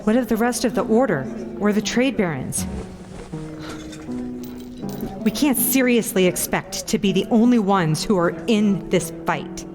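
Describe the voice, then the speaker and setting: A woman's voice: medium pitch, animated, normal speed, music in the background, natural voice. A woman playing a blunt, determined adventurer, direct and earnest in fantasy audio drama dialogue. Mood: doubtful.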